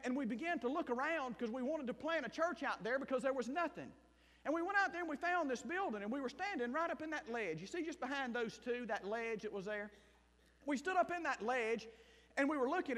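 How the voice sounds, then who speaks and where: A man from South Mississippi with a Southern accent, preaching a sermon and telling his own story as a missionary pastor, shifting between loud bursts and hushed asides. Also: very high voice. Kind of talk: sermon with personal testimony. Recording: long hall reverb.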